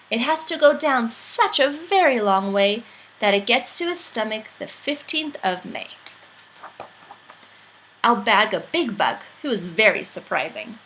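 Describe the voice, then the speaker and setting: A woman's voice: fairly high pitch, a bit bright, slight background noise, low-quality recording. A woman reading a rhyming children's picture book aloud in a playful, theatrical storytelling manner.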